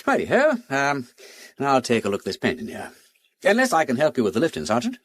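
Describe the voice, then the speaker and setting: Urbane aristocratic detective, upper-class English accent, very animated intonation, jaunty slang, radio drama. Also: male voice, medium pitch, fairly quick, slight background noise. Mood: inquisitive, chipper. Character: breezy aristocratic gentleman sleuth, urbane and curious.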